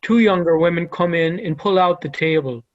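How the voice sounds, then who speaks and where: A man's voice: a little high, moderate pace, highs cut off. A man giving scripted narration, reading out the stage directions of a play.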